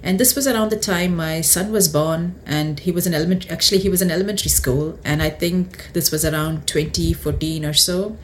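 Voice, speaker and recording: Relaxed woman from India, faint room noise. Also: fairly low pitch, a little fast, few pauses. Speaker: guest. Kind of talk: casual testimony.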